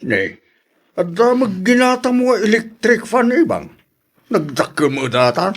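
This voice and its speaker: A man's voice: sits high, sing-song, unhurried, heavily acted. A man playing a thrifty middle-aged husband in an Ilocano radio drama, querulous, practical and questioning. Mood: puzzled, objecting.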